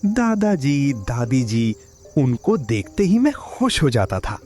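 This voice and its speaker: Man as earnest young grandson in a horror audio story, lively, slightly high, faint music behind. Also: takes it slowly. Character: eager, pleading young village grandson. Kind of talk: first-person story narration and dialogue.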